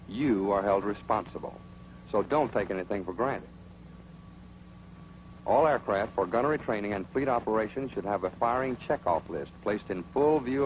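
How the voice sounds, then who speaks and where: A man narrating a military training film with a stern, scolding, instructive manner. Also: fairly low pitch. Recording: old film soundtrack with hiss.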